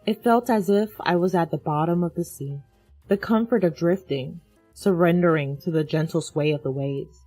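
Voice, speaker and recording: A woman's voice: lowish voice, normal speed, warm tone, no echo, faint music behind, poor audio. A woman reading a scripted first-person dramatic story as its narrator.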